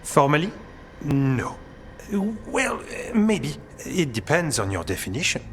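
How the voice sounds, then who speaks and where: An actor playing an earnest, curious scholar-warrior in a video game, thoughtful and a little hesitant. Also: male voice, medium pitch, sing-song, slow, a bit bright, slight background noise, exaggerated voice. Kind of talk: dramatic video game cutscene acting. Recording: clear music behind the voice.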